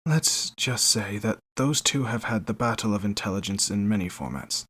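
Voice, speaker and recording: An actor playing a wry veteran knight captain in a fantasy audio drama, storytelling in a conversational way. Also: male voice, lowish voice, normal speed, fairly bright tone, breathy edge. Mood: wry, confiding.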